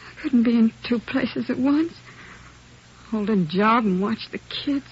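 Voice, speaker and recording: An actress playing a weary, grieving working woman in a 1950s radio drama, speaking slowly and haltingly. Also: on the high side, slightly rough. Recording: muffled old radio recording.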